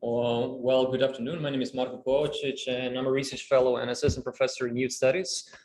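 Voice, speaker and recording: A man on a conference panel, explaining at a measured pace with frequent fillers and restarts. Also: medium pitch, flat delivery, a little mellow. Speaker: panelist, youth studies researcher. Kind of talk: panel discussion answers. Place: conference room. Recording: echoey room, faint ambience.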